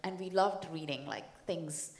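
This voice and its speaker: A woman's voice: deep voice, animated, measured pace, vocal fry, a little mellow. A woman from India, native in Hindi, speaking reflectively at a panel, hesitant, with small laughs. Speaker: panelist, writer and actor. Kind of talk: casual panel talk. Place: conference hall. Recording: echoey room.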